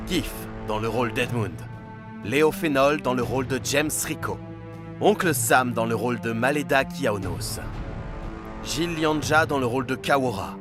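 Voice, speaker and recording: A man reading scripted credits as an announcer. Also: medium pitch.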